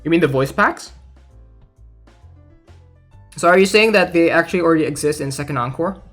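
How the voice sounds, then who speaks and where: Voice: medium pitch, moderate pace, fairly bright tone, faint music behind. A gaming content creator giving casual spoken commentary on game news, sometimes reading announcements aloud.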